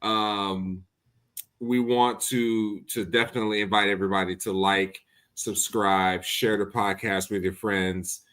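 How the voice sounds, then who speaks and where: A man with a fairly low voice hosts a casual podcast. He talks in a relaxed way, with plenty of filler ums between his thank-yous. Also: a little slow, clean tone. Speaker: podcast host. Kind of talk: casual podcast chat and sign-off.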